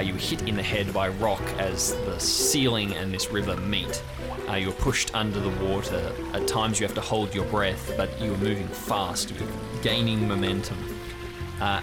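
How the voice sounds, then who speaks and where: Low male voice, game master, improvised adventure narration, vivid scene-setting, clear music underneath.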